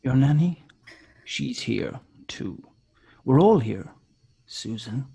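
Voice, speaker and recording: Man acting a husky, slow, menacing kidnapper. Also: mid-range voice, expressive, warm tone, exaggerated voice. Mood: coolly menacing. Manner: slow, taunting, mock-courteous. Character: menacing supernatural male kidnapper, sinisterly polite. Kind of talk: screenplay table read.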